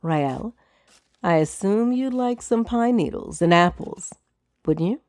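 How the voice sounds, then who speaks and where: A woman's voice: mid-range voice, expressive, slow, darkish tone, a little hiss or hum. An actress playing a poised, wry noblewoman of a wizard's tower in a fantasy audio drama. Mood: hospitable. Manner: dry, amused, composed.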